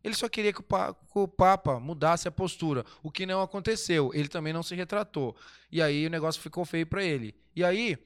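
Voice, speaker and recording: A man chats through history in a smooth, slightly higher voice. He rambles, breaks off mid-word and answers his own rhetorical questions. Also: brisk. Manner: rambling, restarts often, asks himself rhetorical questions. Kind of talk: casual history chat.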